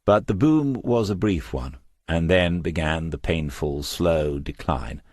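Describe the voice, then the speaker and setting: Very deep, husky male audiobook narrator. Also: darkish tone, no echo, studio quality. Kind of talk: scripted crime novel narration.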